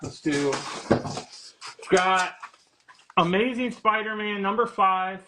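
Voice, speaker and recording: Bright, high-voiced man selling comics. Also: expressive. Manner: easygoing, quick with prices, laughs often. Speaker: comic book seller on a live sale. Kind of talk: casual live sales chat.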